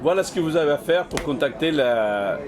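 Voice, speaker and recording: A man's voice: fairly high pitch, expressive, brisk, a crowd in the background. A man working as a local web TV interviewer, asking questions on location at a community fair. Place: community associations forum in a sports hall. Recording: music playing behind, busy event. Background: music.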